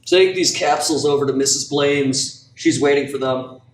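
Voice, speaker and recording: An actor playing a gruff, distracted old male druggist in a radio play. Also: medium pitch, moderate pace, natural voice. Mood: gruff, impatient. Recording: echoey, distant mic, faint music.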